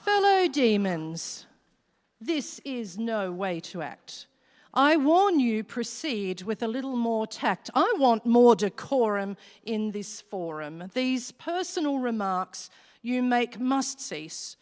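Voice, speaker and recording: A woman's voice: a little high, expressive, slow, darkish tone. A woman playing a wicked female devil who chairs a meeting, formal and declamatory, in a rhymed radio play. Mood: stern, rousing. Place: large hall, live stage performance. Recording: reverberant hall recording.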